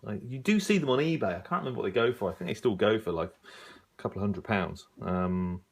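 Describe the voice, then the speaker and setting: A man's voice: on the low side, expressive, brisk, slightly rough. A man giving a casual, unscripted review as a hobbyist, hesitantly reading out listings and commenting as he goes. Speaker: radio hobbyist reviewing an old magazine. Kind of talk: casual unscripted review, leafing through pages.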